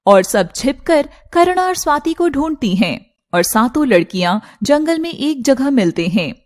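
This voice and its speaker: A woman's voice: high-pitched, sing-song, measured pace, a little mellow. A woman giving scripted narration for a cartoon story.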